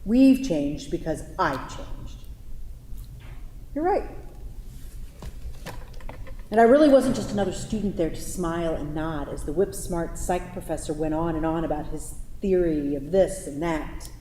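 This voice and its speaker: A woman's voice: deep voice, a little slow, pauses often, dark tone. A woman playing a wounded, defiant woman leaving her lover, in a staged play reading in an echoey hall with faint noise. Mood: hurt, pressing.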